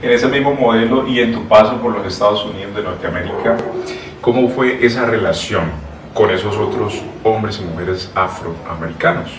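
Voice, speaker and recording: A man's voice: lowish voice, moderate pace. A man asking questions as an interviewer. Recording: roomy, distant mic, faint noise.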